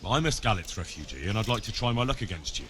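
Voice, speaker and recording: A man's voice: lowish voice, big pitch swings, fast talker, fairly bright tone, slight background noise. A man playing an earnest, plucky young refugee in a medieval video game, plain-spoken and direct. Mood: questioning. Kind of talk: dramatic video game dialogue. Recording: music behind the voice.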